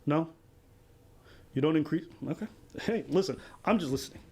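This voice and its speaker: A man's voice: on the high side, expressive, a little mellow, slight background noise. A man hosting a podcast who argues by asking leading questions. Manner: fast, argumentative, leading questions. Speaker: podcast host. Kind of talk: podcast discussion.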